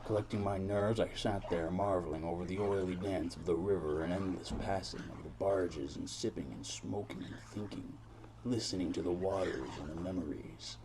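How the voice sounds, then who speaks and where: A man's voice: deep voice, moderate pace, noisy background. A man playing a world-weary, brooding private detective in a noir audio drama, narrating in florid, rambling, repetitive phrases. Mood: brooding, groggy.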